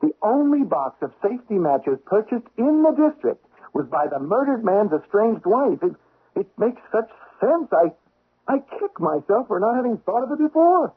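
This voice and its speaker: Excitable, husky young investigator's secretary. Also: male voice, high voice, normal speed, exaggerated voice. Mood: excited, triumphant. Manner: excitable, emphatic, theatrical delivery. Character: eager, insistent young investigator's secretary, triumphant and overzealous. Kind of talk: 1970s radio drama acting. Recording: dull, lo-fi 1970s radio recording.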